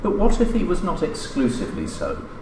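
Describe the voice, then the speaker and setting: A man reading a formal academic conference paper aloud in a measured, scholarly way, pausing mid-phrase. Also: a little high, wildly swooping pitch. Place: indoor lecture hall, at a lectern. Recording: echoey lecture room, distant mic, background noise.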